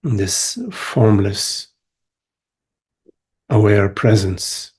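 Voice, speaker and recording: A man leading a guided meditation as a teacher, speaking gently in short phrases with long pauses. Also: on the low side, fairly even intonation, breathy edge. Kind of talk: guided meditation, spiritual talk.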